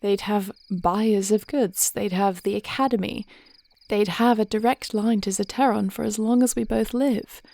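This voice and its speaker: A woman playing a devoted warrior princess in an intimate fantasy audio roleplay, speaking in measured, formal phrases with a reassuring, thoughtful manner. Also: mid-range voice, fairly even intonation, creaky, dark tone, natural voice. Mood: confident, reassuring. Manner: measured, articulate, formal old-fashioned phrasing.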